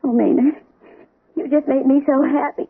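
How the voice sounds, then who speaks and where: An actress playing a jealous, fearful wife in a 1970s radio drama. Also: female voice, high-pitched, steady tone, moderate pace. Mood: anxious. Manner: clingy, anxious. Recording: tinny old radio broadcast.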